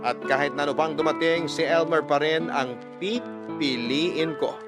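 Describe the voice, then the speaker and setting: A man's voice: fairly high pitch, moderate pace, music in the background. A man narrating a sentimental first-person love story for radio in an earnest, reflective manner. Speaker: narrator reading a letter sender's love story. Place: dry studio with soft music behind.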